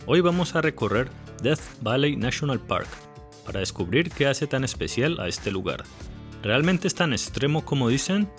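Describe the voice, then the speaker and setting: A man reads a scripted documentary voice-over in a polished, lightly witty manner. His pitch sits in the middle but moves a lot. Faint music plays underneath. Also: sing-song, moderate pace. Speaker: narrator.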